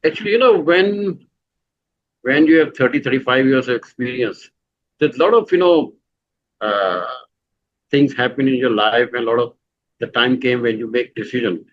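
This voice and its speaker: Guest: middle-aged Pakistani-accented man, mid pitch, halting and filler-heavy, slightly muffled lo-fi sound. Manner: halting, full of "you know" fillers and restarts. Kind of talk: conversational interview answers.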